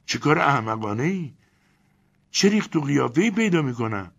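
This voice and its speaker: A man reading aloud and voicing a quick-tempered young wife who shrieks and complains in indignant bursts. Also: mid-range voice, expressive, breathy edge, voice pushed up. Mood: shrieking. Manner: shrieking, exasperated, rapid complaints. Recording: dull lo-fi recording.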